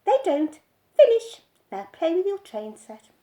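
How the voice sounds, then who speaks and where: Woman doing a brisk, scolding mother. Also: high-pitched, very animated, mellow, pitched above the performer's own voice. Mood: firm. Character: firm, no-nonsense mother.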